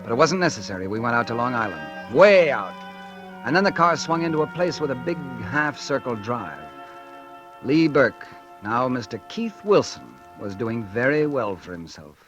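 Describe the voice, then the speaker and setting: An actor playing a bitter, vengeful ex-convict, narrating and speaking in a hard-boiled, brooding 1940s radio drama style. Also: male voice, medium pitch, sing-song, moderate pace, natural voice. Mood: grim, intent. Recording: old radio broadcast with loud music behind.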